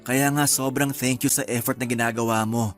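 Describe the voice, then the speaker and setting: A man playing a gentle, agreeable young husband in a radio drama, speaking in a soft-spoken, reassuring way. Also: medium pitch, steady tone, a little fast, soft background music, close to an everyday voice.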